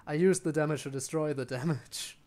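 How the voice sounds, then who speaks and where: Higher-voiced man casually streaming a game. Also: fairly quick, a little mellow, a little hiss or hum. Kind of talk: casual live gameplay commentary.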